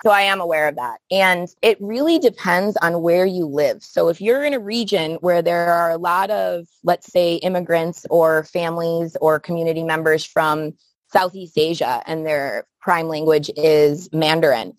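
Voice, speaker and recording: Low-voiced American woman guest, dry sound. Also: moderate pace, no echo. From Buffalo, New York, United States. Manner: thoughtful, searching for words with restarts. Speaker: podcast guest, outdoor play educator. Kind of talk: conversational interview answers.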